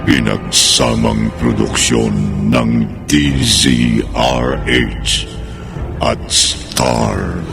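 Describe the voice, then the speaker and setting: A sinister Filipino storyteller for radio horror drama. His low voice crackles with heavy gravel, drawing out each phrase ominously over loud eerie music. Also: full character voice. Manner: drawn-out, ominous, dramatic delivery. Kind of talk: slow theatrical horror radio narration. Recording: long cavernous reverb, eerie music underneath.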